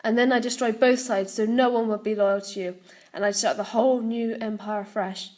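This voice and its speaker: A woman's voice: fairly high pitch, fairly even intonation, normal speed, a little mellow, lightly acted. A woman voicing an evil octopus queen in an audiobook story, with sinister, mocking, theatrical gloating.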